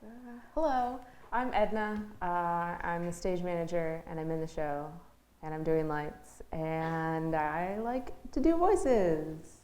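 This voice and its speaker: A woman's voice: fairly low pitch, slow, darkish tone. A woman chatting casually as an interview guest, terse and dry. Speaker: stage manager, interview guest. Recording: echoey room.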